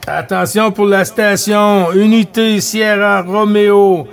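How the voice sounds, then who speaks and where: A Quebec man with a high-pitched voice makes casual CB radio contacts. He speaks with a Quebec French accent, calling stations and chatting as an amateur operator. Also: fairly even intonation, slow pace, vocal fry, clean tone. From Quebec, Canada.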